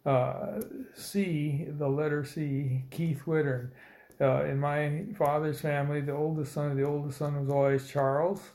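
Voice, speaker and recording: A man in his seventies from Indiana recalls his past in an oral history interview, pausing often on fillers. His voice is gravelly and stays level in pitch. The recording carries a roomy echo. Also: level tone, a little mellow. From Indiana, United States. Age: 70s. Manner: slow, hesitant storytelling with many fillers. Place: old building, a former dormitory.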